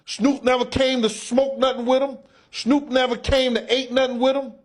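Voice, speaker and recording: A man with a high voice makes his case in blunt street slang. He repeats phrases for emphasis and leans on pointed rhetorical questions. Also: level tone, normal speed.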